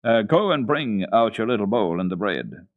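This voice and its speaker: A man voicing a kindly old grandfather in a children's storybook, speaking in plain, measured instructions. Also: on the low side, very animated, phone-quality sound.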